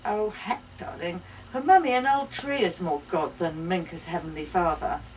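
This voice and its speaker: A woman reading the part of an earnest, affectionate mother, halting and emphatic as she explains things to her child. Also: on the low side, natural voice. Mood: insistent, affectionate. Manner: halting, emphatic, searching for words. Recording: distant mic, noisy live bookshop event.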